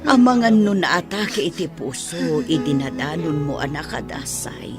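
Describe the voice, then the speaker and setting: A woman's voice: low voice, unhurried, over music. A woman playing a caring older village foster mother who admonishes her ward in an Ilocano radio drama. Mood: concerned, consoling.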